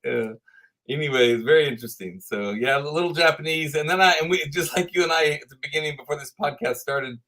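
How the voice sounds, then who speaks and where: A Texan man in his fifties, a podcast guest telling animated stories and laughing as he goes. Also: a little high, quick pace. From Texas. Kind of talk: storytelling interview chat.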